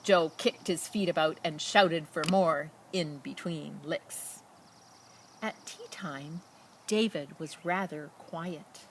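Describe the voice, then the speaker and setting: A woman's voice: sits low, a little hiss or hum. Woman reading a children's storybook expressively. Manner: expressive, dramatic emphasis and pauses. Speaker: storybook reader. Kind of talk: children's picture book read-aloud with casual asides.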